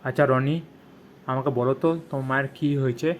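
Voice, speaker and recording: A man playing a calm, sober village healer in a cartoon, reassuring in manner. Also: medium pitch, natural voice. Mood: calm, grave. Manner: reassuring, measured.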